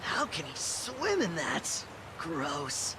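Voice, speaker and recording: An actor playing a goofy, laid-back teenage turtle who drawls in surfer slang. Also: male voice, a little high, huge pitch swings, takes it slowly, background noise, exaggerated voice.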